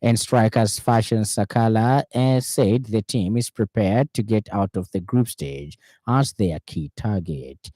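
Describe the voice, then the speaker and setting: A man with a Zambian accent reading a scripted radio news bulletin in a formal, measured way. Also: on the low side, warm tone, dry recording. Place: dry radio studio.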